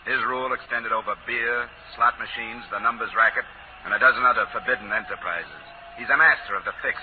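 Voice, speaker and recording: An actor playing a world-weary war veteran in a 1940s radio drama, speaking with dry, measured irony and quiet defiance. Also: male voice, medium pitch, close to an everyday voice. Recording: old lo-fi radio broadcast, faint hiss.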